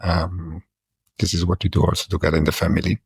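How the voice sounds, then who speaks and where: A man's voice: sits low, monotone, fast, creaky, breathy edge, dry recording, clean studio sound. A middle-aged man from Austria with an Austrian German accent, a neurologist answering interview questions in a hesitant way with frequent restarts. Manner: hesitant, many restarts and fillers. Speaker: neurologist and guest.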